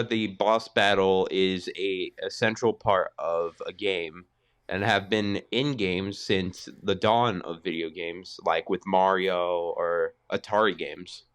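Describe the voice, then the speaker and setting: Male podcast guest, low voice, slow and halting, casual games discussion over faint music. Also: fairly bright tone, smooth. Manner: halting, hesitant delivery. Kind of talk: casual gaming podcast talk.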